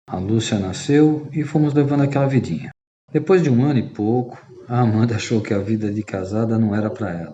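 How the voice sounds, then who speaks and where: Guy playing a brazilian ex-deadbeat spilling his secrets in a radio drama, soft mellow voice, bit muffled audio. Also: medium pitch, husky, close to an everyday voice. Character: repentant rogue and former freeloader confessing to friends.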